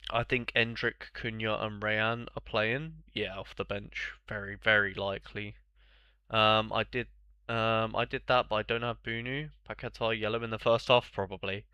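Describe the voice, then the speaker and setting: A man's voice: lowish voice, steady tone, moderate pace, bright, forceful tone, smooth, no room sound, muffled recording. Congested man chatting on stream. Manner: chatty, rambling, answers viewers' chat. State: congested, bunged up. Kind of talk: casual live-stream chat.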